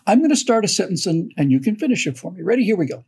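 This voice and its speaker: An older man hosting a casual commentary show over a video call, telling stories in an animated way with frequent restarts. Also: high voice, rapid-fire, breathy edge. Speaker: host.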